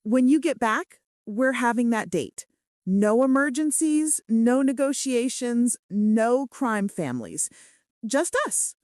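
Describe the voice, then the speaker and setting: A woman's voice: on the high side, expressive, slow pace, a little mellow, no room sound, studio quality. A woman voicing an earnest, devoted male mafia boss in love in a romance audiobook. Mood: tender, insistent.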